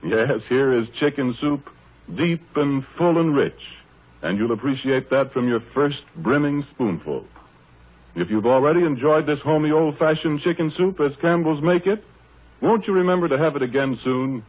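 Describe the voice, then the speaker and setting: An American man works as a vintage radio announcer, his pitch swinging in a lively way. His voice is a little husky, and his delivery is polished and persuasive. The recording is thin and band-limited like 1930s broadcasts. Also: moderate pace, narrow, tinny audio.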